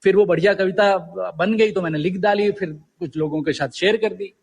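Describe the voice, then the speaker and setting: Husky higher-voiced man in reverb. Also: brisk. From Uttarakhand, India. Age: 40s. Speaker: guest poet. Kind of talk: conversational interview storytelling.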